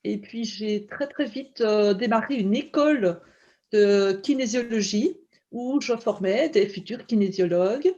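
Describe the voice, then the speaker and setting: A Belgian woman in her fifties with a Belgian French accent, answering interview questions over a video call in a reflective way with frequent pauses. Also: medium pitch, mellow, highs cut off. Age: 50s. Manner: reflective, many hesitations. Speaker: guest, kinesiology coach.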